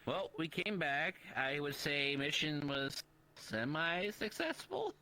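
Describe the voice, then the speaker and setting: A man's voice: a little high, big pitch swings, slow pace, bright, forceful tone. A man taking part in an improvised roleplay, chatting in character as a player. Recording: faintly noisy voice chat.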